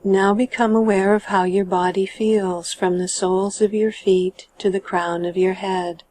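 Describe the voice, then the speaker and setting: A woman guiding a meditation in a calm, measured and gentle manner, letting her sentence endings trail off. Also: medium pitch. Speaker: meditation guide. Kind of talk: guided meditation narration.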